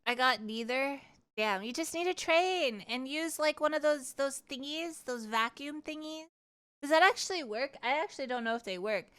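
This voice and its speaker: High-pitched animated woman chatting on stream. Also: normal speed. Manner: animated, giggly, rambling. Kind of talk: casual livestream chat and storytelling.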